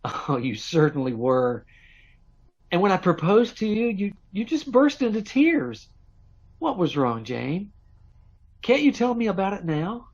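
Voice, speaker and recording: A man's voice: high-pitched, big pitch swings, unhurried, pauses often, husky, heavily acted. A man playing an eager, lovestruck young suitor in 1940s radio drama style, earnest, pleading and stammering. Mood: earnest, wooing. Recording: dull lo-fi remote call audio.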